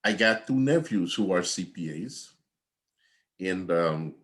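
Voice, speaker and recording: An older man from Guyana, an accounting professor giving a conversational, rambling online class lecture over a video call from home. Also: low-pitched. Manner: conversational, repetitive, digressive.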